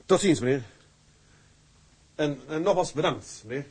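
Earnest young sailor, lively pitch. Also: male voice, measured pace, husky, dry recording. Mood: grateful. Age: young adult. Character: earnest, slightly bashful young sailor, newly engaged. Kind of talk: broadcast drama acting.

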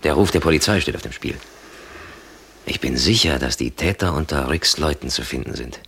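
An actor playing a stern, authoritative police captain in a 1960s German radio drama, with clipped official delivery. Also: male voice, rumbling low, normal speed, gravelly, a bit bright, slightly rough, exaggerated voice. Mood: grave, urgent. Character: stern male police captain handing out orders.

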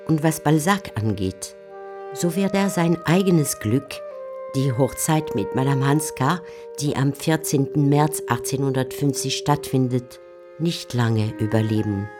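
A woman narrating and commenting on a literary story in a scripted radio drama. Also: a bass voice.

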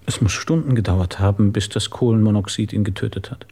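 Man, police inspector, radio crime drama, lowish pitch, mellow dark tone, calm, formal, probing. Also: brisk, slightly rough.

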